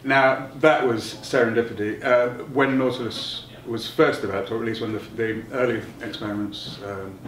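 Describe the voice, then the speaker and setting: A man's voice: mid-range voice, fairly even intonation, fairly bright tone. Middle aged guy explaining tech stuff, stops and starts, mic a bit far off, echoey room. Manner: halting, thinking aloud with many pauses. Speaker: loudspeaker designer being interviewed. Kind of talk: technical explanation in conversation. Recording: roomy, distant mic, noticeable background noise.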